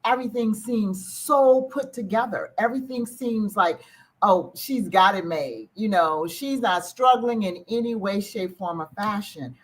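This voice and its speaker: A woman host with a husky voice speaks in an interview. She responds to her guest with empathy, affirming and reflecting back what was said with emphasis. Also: mid-range voice, unhurried, poor audio. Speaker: show host. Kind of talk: interview conversation.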